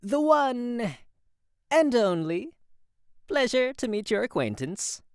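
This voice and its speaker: A woman's voice: on the high side, sing-song, slow, clean tone, no room sound, clean studio sound, heavily acted. A woman voicing a self-assured, genial male inventor in a visual novel game. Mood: smug, genial.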